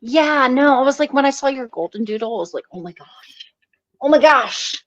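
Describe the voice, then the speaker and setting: Lively high-voiced woman, slightly muffled audio. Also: normal speed, no echo. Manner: informal, chatty. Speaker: livestream panel guest. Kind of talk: casual chat. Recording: dull lo-fi stream audio.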